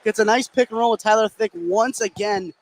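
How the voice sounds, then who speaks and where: A man's voice: high voice, fairly quick, slight background noise, rough recording. Conversational male basketball color commentator. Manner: conversational, explanatory. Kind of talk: live sports radio analysis. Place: indoor basketball gymnasium.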